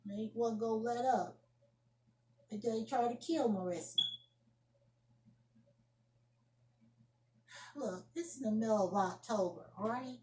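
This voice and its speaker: A woman's voice: fairly high pitch, warm tone, lightly acted. A woman playing an indignant young woman scolding someone over the phone in an amateur solo drama, emphatic and repeating her phrases. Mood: accusing. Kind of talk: amateur solo acted monologue, one side of a phone argument. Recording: roomy echoing home recording.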